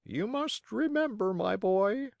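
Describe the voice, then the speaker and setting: A storyteller voicing a kindly, helpful talking pigeon in a high voice with a measured, gently instructive manner. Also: lively intonation, gravelly, dry recording. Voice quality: high-pitched.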